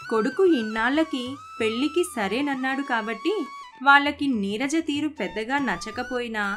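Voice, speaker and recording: Female narrator, high pitch, scripted storytelling, music bed. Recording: constant background music.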